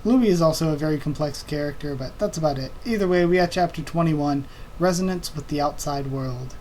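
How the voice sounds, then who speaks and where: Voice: mid-range voice, fairly quick, a little mellow, slight background noise. A manga read-through host talking casually into a desk microphone, rambling with many fillers and self-corrections.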